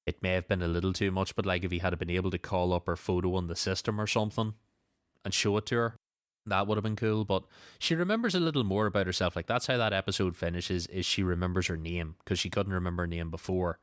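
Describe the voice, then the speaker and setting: A man's voice: low voice, quick pace, no echo, studio quality. A man hosting a podcast in a casual, conversational style. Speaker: podcast host.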